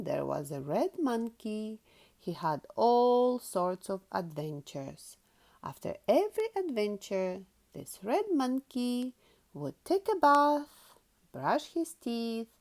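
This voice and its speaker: High-pitched, animated woman storyteller, very unhurried. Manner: animated, sing-song, carefully paced. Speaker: children's storyteller. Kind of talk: slow expressive storybook read-aloud for children.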